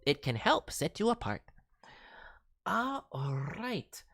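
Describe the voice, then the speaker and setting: A performer voicing a cheeky, excitable non-human cartoon mascot who hosts a casual stream with boastful, playful word-mangling. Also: mid-range voice, takes it slowly, vocal fry, no room sound, clean studio sound, heavily acted. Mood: chatty, musing. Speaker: host reviewing voice demo reels. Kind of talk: casual live stream commentary.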